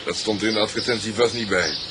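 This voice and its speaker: An actor playing a dry, sardonic, grumbling husband in a Dutch radio drama. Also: male voice, on the low side, fast talker, heavy background noise, lightly acted.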